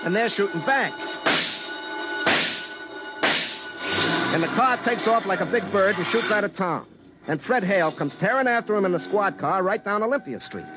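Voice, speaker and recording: A man's voice: high voice, expressive, fairly quick, heavy background noise. Hapless, folksy American radio lineman. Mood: excited storytelling. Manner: folksy, rambling, self-dramatizing. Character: hapless, earnest small-town telephone lineman recounting his troubles. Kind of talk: 1950s radio comedy acting and first-person narration. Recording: old 1950s radio recording.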